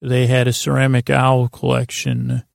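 A man hosting a sleep podcast, rambling in a halting, meandering way with lulling, soothing tones. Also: mid-range voice, monotone, dry recording, clean studio sound. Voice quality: creaky, gravelly. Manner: meandering, hesitant, halting, trailing off into tangents. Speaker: podcast host.

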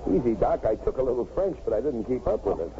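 An actor playing a plain-spoken, modest city cop who narrates in a 1950s radio drama. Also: male voice, medium pitch, very animated, quick pace, lightly acted. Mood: hesitant. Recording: noisy, muffled old radio broadcast.

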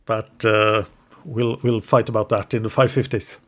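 A man's voice: on the low side, fairly even intonation, moderate pace. Man retelling history from notes. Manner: narrates from notes, hesitant with English words. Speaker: podcast co-host. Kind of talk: casual history podcast storytelling.

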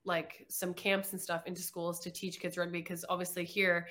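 A woman's voice: lowish voice, little pitch movement, normal speed, fairly bright tone. A young woman from Canada, a guest chatting casually in an interview, with many "like" fillers.

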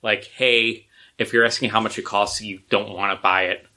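Podcast host, male, bright and somewhat low voice, eager rambling chat. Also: steady tone, normal speed. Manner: enthusiastic, rambling with restarts. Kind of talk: casual chat.

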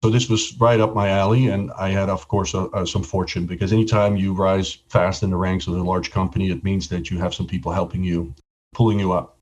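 A middle-aged man from the Netherlands speaks in a very deep voice. He tells his story in a relaxed, casual way and often repeats a word as he starts a sentence. The sound is a little dull and lo-fi. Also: a little fast, slight background noise. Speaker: podcast guest.

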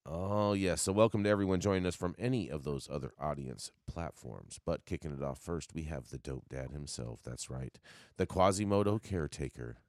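A man's voice: rumbling low, moderate pace, a little mellow, no echo, clean studio sound. A man co-hosting a news talk show, opening it with a playful, hype-man introduction. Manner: playful, hype-man delivery with big swings. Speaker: co-host.

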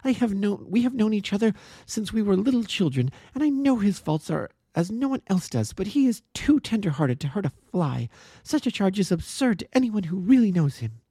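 A man's voice: very animated, a little fast, dark tone, breathy edge, dry recording, clean studio sound, heavily acted. A man doing an earnest pleading young woman. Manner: breathless and insistent, rising high. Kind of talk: audiobook character voice.

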